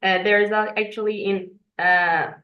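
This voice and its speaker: A woman's voice: mid-range voice, steady tone, normal speed, muffled recording. A woman, a Farsi speaker, who speaks English hesitantly with many fillers as an interview guest. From Iran. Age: 40s. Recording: echoey room.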